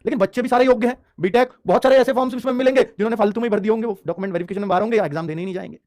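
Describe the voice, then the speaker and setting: A man's voice: high voice, very fast, a little mellow. A man giving an informal explainer lesson as a coaching teacher, emphatic and repetitive, often checking in with his students.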